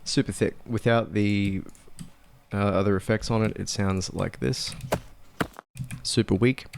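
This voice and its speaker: Low creaky guy talking through a sound design tutorial, pretty matter-of-fact. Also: a little hiss or hum. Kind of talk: casual screen-recorded tutorial narration.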